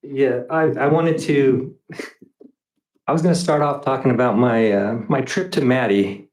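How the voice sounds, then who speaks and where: A man's voice: medium pitch, unhurried, darkish tone. A school board trustee starts his report hesitantly, stumbling over repeated starts. His mid-range voice is echoey, as in a meeting hall.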